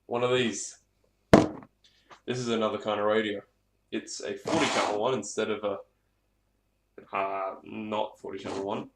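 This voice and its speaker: A man's voice: fairly low pitch, flat delivery, measured pace, pauses often. A man giving a casual hands-on gear demonstration in a rambling, off-the-cuff way, with some swearing. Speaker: hobbyist presenter. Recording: echoey room, clear background noise, clicks.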